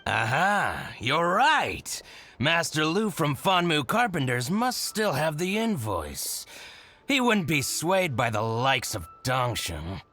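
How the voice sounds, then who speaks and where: Smug, very animated schemer. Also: male voice, fairly high pitch, takes it slowly, exaggerated voice. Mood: gleeful, flattering. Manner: theatrical, self-satisfied. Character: smug, scheming businessman, theatrical and gleeful. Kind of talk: video game character acting.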